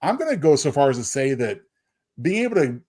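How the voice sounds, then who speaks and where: A man's voice: medium pitch, very animated, fairly quick, a little mellow, breathy edge. A man co-hosting a podcast, talking in a casual, analytical way. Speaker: podcast co-host.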